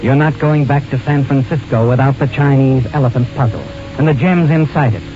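Male actor as knowing storyteller for vintage radio suspense, deliberate and taunting, music underneath, muffled old broadcast sound. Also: mid-range voice, lightly acted. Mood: tense, insinuating. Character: knowing, insinuating male storyteller addressing the culprit. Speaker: narrator. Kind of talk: 1940s-50s radio suspense narration in second person.

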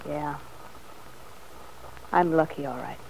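An actress playing a bitter, anxious young wife in a vintage radio soap, with a brittle, ironic, weary manner. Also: female voice, deep voice, slow pace, creaky, heavily acted. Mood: resigned, anxious. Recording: faintly noisy old radio recording.

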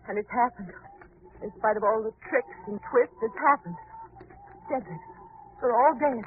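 A woman's voice: fairly high pitch, moderate pace, close to an everyday voice. An actress playing a frightened, hysterical young woman in melodramatic 1940s radio style. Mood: hysterical dread. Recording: noisy phone-like old radio recording.

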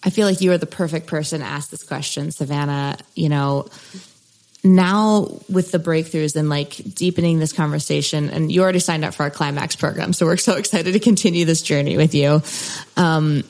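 A woman's voice: low-pitched, steady tone, brisk, darkish tone. A woman hosting a podcast, interviewing a guest in an enthusiastic, casual way. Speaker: podcast host.

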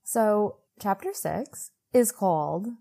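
A woman's voice: mid-range voice, lively intonation, slow pace, vocal fry, dark tone. A woman co-hosting a podcast, recapping a book in casual, wry, colloquial chat with playful exaggeration. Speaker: podcast co-host.

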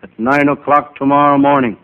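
A male comedian playing a wisecracking, hapless private eye, narrating in deadpan first person in old-time radio comedy style. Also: mid-range voice, fairly quick, close to an everyday voice. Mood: matter-of-fact. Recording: noisy, tinny vintage radio recording.

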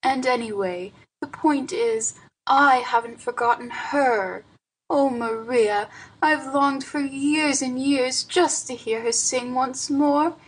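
A frail old woman from a storybook, acted out by a female narrator, with a husky voice set fairly high. She is wistful and plaintive, forever pleading as if close to tears. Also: slow, exaggerated voice, poor audio. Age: older.

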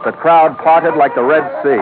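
An actor playing a tough, wry American sea captain in a vintage radio adventure, speaking in a clipped, hardboiled manner. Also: male voice, fairly high pitch, moderate pace, close to an everyday voice. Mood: vivid, brisk narrating. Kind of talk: 1940s-style radio acting and first-person narration. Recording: tinny vintage radio, loud music behind.

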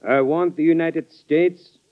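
An actor playing a smooth, insistent French envoy with a French accent in an old radio drama, on a muffled vintage recording. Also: male voice, fairly high pitch, fairly even intonation, takes it slowly. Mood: scheming. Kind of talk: 1940s radio drama acting.

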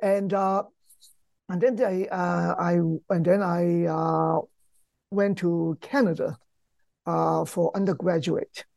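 An older man with a Hong Kong accent, a professor speaking conversationally in an interview, halting and repeating words. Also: high-pitched, flat delivery, gravelly, a little mellow, dry recording. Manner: halting, repeats words, non-native English phrasing. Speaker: history professor, author being interviewed.

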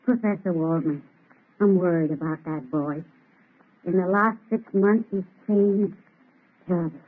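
A woman's voice: lowish voice, measured pace. A woman playing an anxious, disapproving housekeeper with a grumbling, emphatic manner in old radio drama style. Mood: worried, fearful. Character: fretful, scolding female housekeeper, anxious and disapproving. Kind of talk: 1930s radio drama acting. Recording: tinny, scratchy old radio broadcast.

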